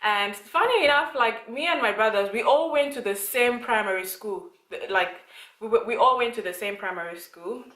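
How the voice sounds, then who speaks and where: A woman's voice: on the high side, normal speed, fairly bright tone. Young woman from zimbabwe just chatting to camera, lots of likes and ums, kinda roomy echo. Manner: chatty, rambling with many fillers and restarts. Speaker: vlogger. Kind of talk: casual talk to camera. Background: child talking in the background.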